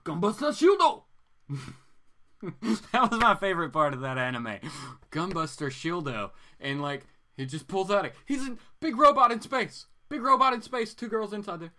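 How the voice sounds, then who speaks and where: A man hosting a casual livestream, chatting to viewers in a rambling, animated, geeky way. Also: high-pitched, normal speed. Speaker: host of a comics reading show.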